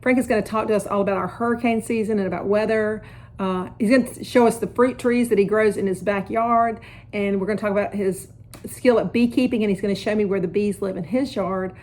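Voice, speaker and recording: A woman's voice: medium pitch, steady tone, brisk, a little mellow. A woman, hosting a podcast and chatting casually with her guest. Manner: chatty, conversational. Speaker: podcast host and realtor. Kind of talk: casual interview chat.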